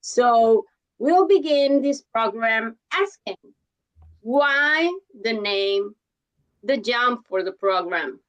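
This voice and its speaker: Woman hosting a live show, slow careful talk, pitch a bit high. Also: lively intonation, a bit bright, dull audio. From Mexico. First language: Spanish. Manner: deliberate, measured introductions. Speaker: host. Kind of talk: live online interview.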